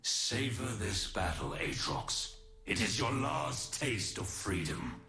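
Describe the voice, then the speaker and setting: An actor playing a noble, solemn winged immortal warrior in a video game, grave, formal and deliberate. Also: male voice, low voice, sing-song, creaky, over music, heavily acted. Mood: stern warning. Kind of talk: scripted dramatic game voice lines.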